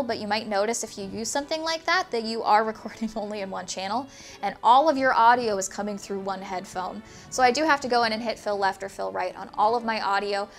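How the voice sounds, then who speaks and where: A young woman with a higher, slightly nasal voice explains her gear step by step in a relaxed, chatty way. She sits in a small home studio and speaks into a lapel mic clipped close. A little music plays softly underneath. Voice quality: higher-pitched voice, somewhat nasal. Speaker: YouTube creator. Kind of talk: casual explanatory talk to camera. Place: small home studio room with shelves, indoors, not soundproofed. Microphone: small lapel mic clipped to hoodie.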